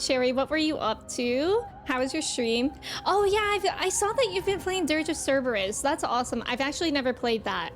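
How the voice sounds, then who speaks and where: A woman with a high voice streams a video game live, with game music playing behind her. She chats informally with viewers and reacts expressively to what happens on screen.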